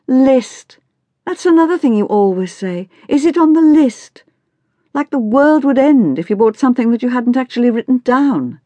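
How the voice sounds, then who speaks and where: Woman reader doing a bored 14 yr old girl, storybook dialogue, mocking and whiny, voice pushed up high, dry sound. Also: animated, moderate pace, warm tone, no echo. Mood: exasperated, bored. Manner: whiny, sarcastic teenage complaining. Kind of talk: acted audiobook dialogue.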